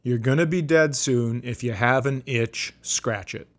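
A man's voice: mid-range voice, a little slow. A man co-hosting a comedy podcast in casual banter, cutting in with pointed questions and dry quips. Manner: interjecting, mock-exasperated questioning, dry quips.

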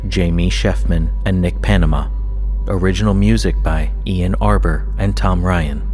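A man working as an announcer, giving a scripted read of production credits. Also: sits low. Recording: music behind the voice. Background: music.

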